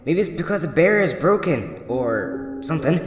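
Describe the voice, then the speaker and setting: A man plays a coaxing, excitable character in a comic dub, high-voiced with swooping intonation. The voice echoes in a hall-like reverb. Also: moderate pace. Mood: urging.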